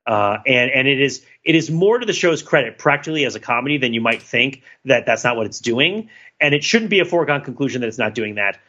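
A man's voice: mid-range voice, expressive, quick pace, pushed and bright, lo-fi sound. A man co-hosting a podcast discussion, talking casually with many fillers, restarts and little laughs. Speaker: podcast co-host.

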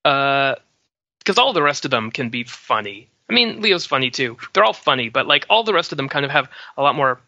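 A man in a casual panel discussion, thinking aloud with hesitant pauses as he gives his opinions. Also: medium pitch, very animated, pushed and bright, no room sound. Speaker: podcast panelist. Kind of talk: casual pop-culture discussion. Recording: dry but dull lo-fi audio.

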